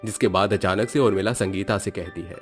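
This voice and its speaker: A male narrator reads a cartoon moral story in a steady, matter-of-fact way. His voice sits on the low side, and faint music plays underneath. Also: fairly quick.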